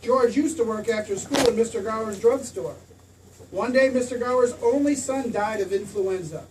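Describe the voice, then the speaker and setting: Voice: medium pitch, normal speed, lightly acted. An actor playing a patient heavenly narrator, telling a story in scripted radio drama style. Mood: explanatory. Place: theater stage. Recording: distant stage pickup with clear noise.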